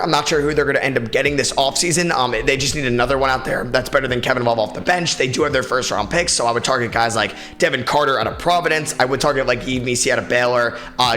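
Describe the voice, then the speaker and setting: Raspy-voiced man. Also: medium pitch, pushed and bright. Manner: casual, opinionated, many fillers. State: tired, short on sleep. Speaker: podcast host. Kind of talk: solo sports podcast commentary.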